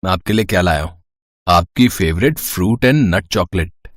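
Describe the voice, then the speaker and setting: A man's voice: low-pitched, lively intonation, unhurried, no room sound, clean studio sound. A man playing a playful, sweet-talking young brother-in-law in a family storybook drama. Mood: tender. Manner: playful, coaxing.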